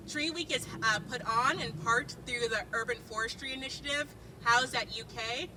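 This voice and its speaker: A woman's voice: on the high side, sharp tone. Upbeat female host, casual welcome speech, outdoor garden with light background noise, distant mic. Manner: upbeat, informal, a few ums and restarts. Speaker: event host from a community garden group.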